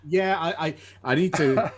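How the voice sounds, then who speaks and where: A man with a soft southern Irish accent, chatting casually as a guest and tripping over restarts. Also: on the high side, unhurried. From Ireland. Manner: chatty, self-deprecating, stammers on restarts. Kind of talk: casual chat. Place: lives in Australia.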